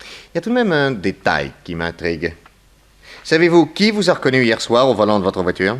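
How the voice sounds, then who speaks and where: Shrewd police investigator, vintage French radio play. Lively melodic swings, somewhat deep, insistent and commanding. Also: male voice, brisk. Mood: measured, insistent. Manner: pointed, authoritative questioning. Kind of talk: 1960s French radio drama acting.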